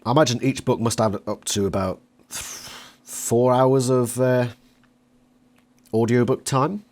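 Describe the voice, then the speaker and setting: A man hosting a casual interview, chatty and quick to interject. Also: mid-range voice, steady tone, a little mellow. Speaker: interviewer and channel host.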